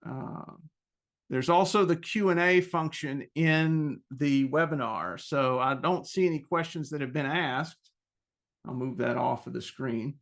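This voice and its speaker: A man presenting an informal webinar question-and-answer session, explaining things in a halting, self-correcting way. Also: a little high, gravelly, a bit bright.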